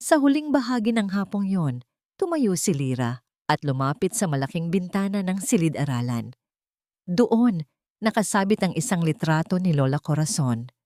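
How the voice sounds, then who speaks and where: A woman reading a scripted dramatic story as narrator, in measured, sentimental short phrases. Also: lowish voice, very animated, mellow, no echo, clean studio sound.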